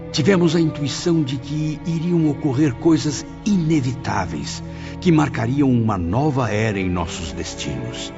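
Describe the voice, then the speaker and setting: Low-voiced troubled spirit, acted by a man. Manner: dramatic, literary. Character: anguished male spirit recounting his memories. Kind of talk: audiobook drama narration. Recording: dull audio with music behind.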